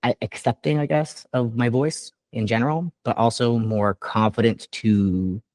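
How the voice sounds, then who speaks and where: A man and trained classical singer, chatting casually as an interview guest, animated and laughing often. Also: measured pace, no echo. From Canada. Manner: animated, laughs often, pitch jumps up when excited. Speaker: guest musician.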